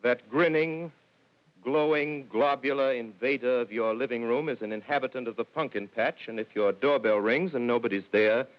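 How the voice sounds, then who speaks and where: A man's voice: medium pitch, moderate pace, natural voice. A man playing a theatrical, playfully spooky host of a 1930s radio drama. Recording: dull lo-fi old radio broadcast.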